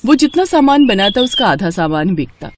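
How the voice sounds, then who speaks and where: A woman's voice: medium pitch, sing-song, moderate pace, background noise. A woman narrating a scripted moral story as a storyteller.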